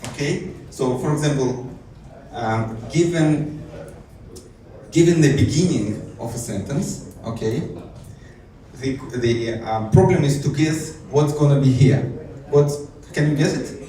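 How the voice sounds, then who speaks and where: A man's voice: medium pitch, unhurried, mellow. A man from Israel giving a technical conference talk, hesitant with many fillers and restarts. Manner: hesitant, many fillers and restarts, light self-amused laughs. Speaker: conference speaker. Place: conference hall. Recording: echoey hall, distant mic, faint noise.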